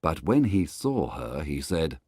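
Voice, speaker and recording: A man's voice: rumbling low, big pitch swings, a little slow, a little mellow, slightly rough, dry recording, studio quality. A man reading a scripted children's tale as its storybook narrator.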